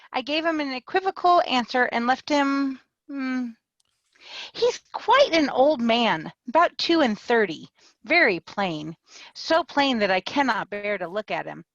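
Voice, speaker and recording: Giddy fickle young lady, read by a woman, bouncy lively voice, kinda dull call audio. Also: a little high, no room sound. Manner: giddy, changeable, animated delivery. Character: young woman Mary, vain, fickle, eager to marry. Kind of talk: dramatic reading over video call.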